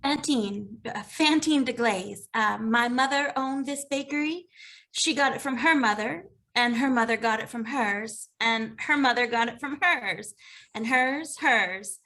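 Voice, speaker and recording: Woman playing timid young baker, bright voice. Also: on the high side, a little slow. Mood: earnest grief. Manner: hesitant, earnest. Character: timid, earnest young female baker, grieving daughter. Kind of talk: animated comedy table read.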